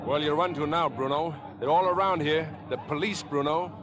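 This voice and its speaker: A man's voice: on the high side, normal speed, a crowd in the background, lightly acted. An actor playing an earnest young man wrongly suspected of murder, speaking in clipped 1950s radio suspense style. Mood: urgent, pleading. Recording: vintage 1950s radio broadcast.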